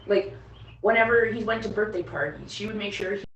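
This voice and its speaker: A woman's voice: mid-range voice, brisk, dull audio. A woman in her late twenties hosting a livestream, chatting casually with her best friend and talking to viewers. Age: 20s. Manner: chatty, laughs easily, addresses the viewers. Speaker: livestream host. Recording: roomy, distant mic with faint background noise.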